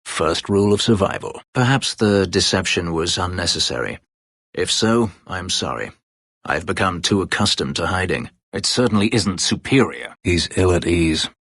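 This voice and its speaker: An escaped slave turned elven warrior from a fantasy video game. The voice is very low and raspy, recorded close and dry. He speaks in terse, formal phrases, guarded and bitter, with a sardonic edge. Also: animated, normal speed, fairly bright tone, dry recording, exaggerated voice. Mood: regretful, subdued, then sardonic, cold. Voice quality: low, husky, gravelly. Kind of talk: video game character dialogue.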